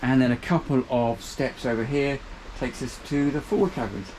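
A man's voice: mid-range voice, moderate pace, a little mellow, a little hiss or hum, rough recording. A man, giving an upbeat walkthrough tour of a small motor boat cabin, filmed on a handheld camera. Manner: chatty, upbeat, pointing out features as he moves. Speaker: boat reviewer.

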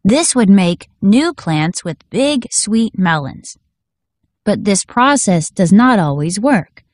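A woman reads an educational text aloud as a narrator. Her delivery is instructional and clearly enunciated, at a middle pitch, in a dry recording. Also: sing-song, slow, darkish tone, dry recording. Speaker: audiobook narrator. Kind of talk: scripted educational read-aloud.

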